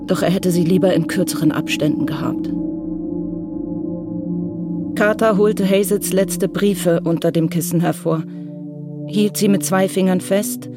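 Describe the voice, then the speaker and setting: A woman narrating a radio crime drama in a sober, measured, literary style. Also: lowish voice, lightly acted.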